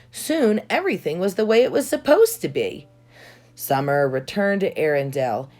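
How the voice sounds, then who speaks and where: Female children's storybook narrator, slightly low voice, very animated intonation, dramatic emphasis. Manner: dramatic emphasis on key words. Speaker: storybook reader. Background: soft music.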